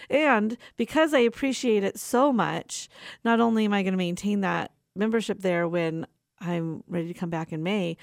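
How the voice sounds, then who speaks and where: A woman's voice: mid-range voice, creaky, darkish tone, dry recording, studio quality. A woman of forty with a young-sounding voice, hosting a radio show in a rambling, personal way, tired and laughing often. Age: 40s. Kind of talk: casual chatty radio talk.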